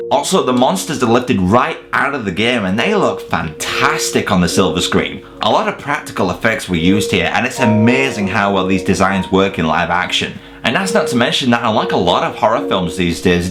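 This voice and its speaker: A man with a fairly low voice and a very animated delivery narrates a scripted review. He is opinionated and casual, happy to swear, and there is light music behind him. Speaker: video presenter, film reviewer. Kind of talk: scripted review narration for an online countdown video.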